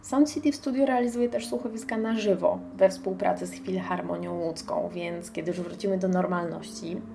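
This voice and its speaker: A woman's voice: fairly low pitch, fairly even intonation, normal speed, vocal fry, background noise. A woman presenting a radio feature as host, speaking enthusiastically and addressing her listeners directly.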